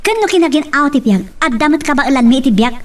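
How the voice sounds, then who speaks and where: An actress as a young woman in love, defiant and emotional, with a very high voice. She insists and pleads in the manner of a radio love drama, recorded at some distance from the mic. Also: expressive, quick pace. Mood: firm.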